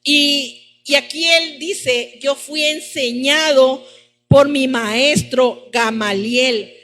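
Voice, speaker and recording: A woman's voice: high-pitched, drawn-out words, bright, forceful tone, faint music behind. A woman preaching a teaching sermon, addressing her congregation and repeating phrases for emphasis. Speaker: preacher at a church service. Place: church service, live-streamed.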